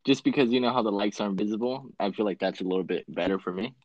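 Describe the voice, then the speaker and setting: A man's voice: on the low side, quick pace, a little mellow. A twenty-one-year-old man chatting casually on a call, easygoing and a bit hesitant, with lots of "like" and "um". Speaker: guest, shoe buyer. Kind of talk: casual chat. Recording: dry, dull lo-fi call audio.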